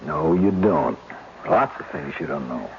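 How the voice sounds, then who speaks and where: A man playing a gentle, patient policeman husband in a 1970s radio drama, soothing and plain-spoken, with a gravelly voice. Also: rumbling low, animated, unhurried, exaggerated voice. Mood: reassuring. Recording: dull, lo-fi old radio recording with faint hiss.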